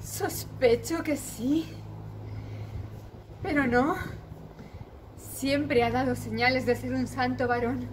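A woman's voice: sits high, steady tone, slow, long pauses, a little hiss or hum. A woman playing a frightened young noblewoman in a declamatory classical stage monologue, full of dramatic questioning. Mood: anxious, doubting. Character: frightened young noblewoman agonizing over dark fears. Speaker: amateur stage actress.

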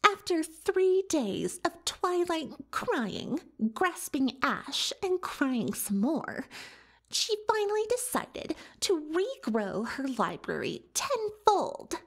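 A woman's voice: extremely high pitch, sing-song, slow, a bit bright, exaggerated voice. A woman playing a boastful, self-dramatising unicorn pony magician in an ASMR roleplay, telling her tale with haughty theatrical airs and confiding asides. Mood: haughty, defensive. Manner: haughty, theatrical, third-person self-reference, conspiratorial asides. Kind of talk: ASMR roleplay monologue, storytelling.

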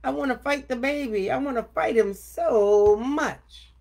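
A boisterous male cousin from a storybook as a woman reads him, pitched high. He is playful and cowboy-like, stretching out his punchy calls as if itching to wrestle. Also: a little slow, creaky, darkish tone.

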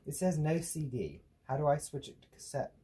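Mellow young man, puzzled muttering. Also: on the high side, very animated, moderate pace. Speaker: participant trying out old technology. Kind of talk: casual thinking aloud. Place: small cluttered room at a desk indoors. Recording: clear background noise with clicks.

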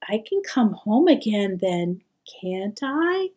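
A woman voicing an anxious, gentle young boy in a storybook, softly spoken and questioning. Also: mid-range voice, very animated, slow pace. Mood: worried. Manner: soft, nervous, questioning.

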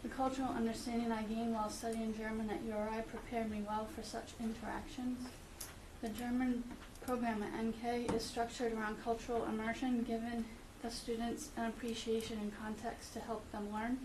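A middle-aged woman reading a letter aloud at a school meeting, steady and careful, with occasional fillers. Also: a little high, brisk. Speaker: parent speaking at public comment. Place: meeting room with audience. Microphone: far room camera. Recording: echoey room, distant camera, background noise.

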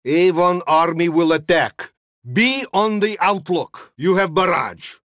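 Doom-laden Russian soldier for a game. Thick broken English, deadpan, high voice, dry sound. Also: male voice, takes it slowly, no room sound. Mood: fatalistic urging. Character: gloomy, deadpan Russian military man named Bogdan. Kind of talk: video game voice acting.

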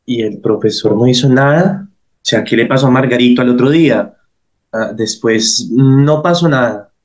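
A man's voice: mid-range voice, normal speed, close to an everyday voice. A man playing a concerned, thoughtful listener who asks pondering questions in a radio drama. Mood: pensive, concerned.

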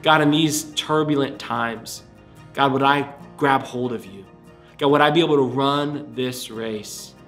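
A man's voice: mid-range voice, a little slow, a bit bright, faint music behind. A young man preaching as a pastor to camera in a small room, earnest and fervent, sounding a little congested. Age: young adult. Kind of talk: livestream sermon and altar call. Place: small indoor room before a screen.

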